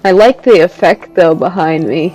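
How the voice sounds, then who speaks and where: A woman's voice: fairly low pitch, moderate pace, mellow, low-quality recording. A woman streamer giving casual, musing commentary as she plays through a video game. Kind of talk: casual live playthrough commentary. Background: game music.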